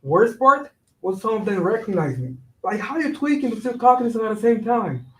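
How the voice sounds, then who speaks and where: An exasperated, slangy young man played by a male actor complains like a let-down friend. The voice is high and roomy, set back from the mic in a staged reading. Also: expressive, brisk, fairly bright tone. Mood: weary, complaining. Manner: slangy, complaining, sprinkles in Spanish. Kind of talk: staged reading of a one-act play. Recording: roomy echo, voice far from mic.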